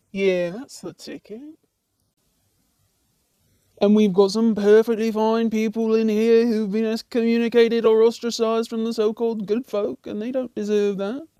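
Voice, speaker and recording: A woman's voice: medium pitch, warm tone, no room sound, clean studio sound, close to an everyday voice. A woman playing a laid-back, chatty ordinary demon in a comic puppet show, with a casual, rambling, teasing way of talking.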